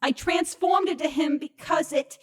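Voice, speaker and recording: A woman's voice: high voice, moderate pace, natural voice. A woman voicing a flustered, defensive young female changeling, stammering and exasperated, in a comedic audio story. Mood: embarrassed confession. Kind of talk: animated audio-drama acting of a comedy story.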